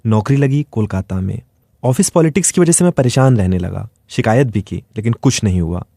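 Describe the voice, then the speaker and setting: A man's voice: on the low side, lively intonation, brisk, gravelly, warm tone, no room sound, clean studio sound. A man reading a scripted first-person dramatic story as its narrator.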